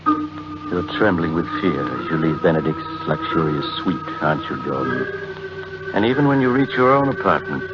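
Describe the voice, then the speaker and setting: Mysterious taunting narrator, very low voice, vintage radio thriller style, dull recording under prominent music. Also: male voice, expressive, moderate pace. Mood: ominous, taunting. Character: ominous mysterious narrator taunting the characters in second person. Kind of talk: 1940s radio acting, suspense narration. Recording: muffled 1940s radio recording. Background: loud music.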